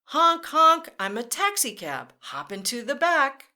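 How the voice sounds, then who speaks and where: A woman's voice: sits high, big pitch swings, measured pace, pushed and bright. A woman reading a picture book aloud to children, in a sing-song way, with playful vehicle sound effects. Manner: talks directly to children. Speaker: children's storyteller. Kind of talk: read-aloud storytime.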